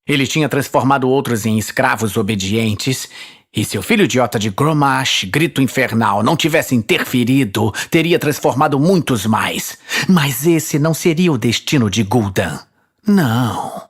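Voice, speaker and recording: Male narrator, Brazilian, for a fantasy audio series; dramatic scripted read, husky mid-range voice. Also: mid-range voice, expressive, moderate pace.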